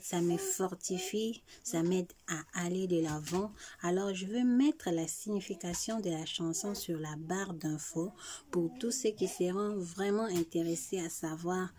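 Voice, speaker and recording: A woman speaks slowly and softly in a warm, mellow voice, earnest and devout as she addresses her viewers. Her pitch sits on the lower side for a woman. A faint hiss of background noise lies under the recording. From Congo. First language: Tshiluba. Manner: soft, earnest, devout, often hushed. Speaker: vlogger. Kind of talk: personal chat before singing.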